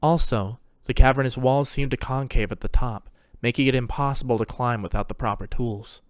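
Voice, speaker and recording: A man's voice: mid-range voice, moderate pace, clean tone, no echo, studio quality. A man reading scripted story narration as the narrator of a fan fiction tale.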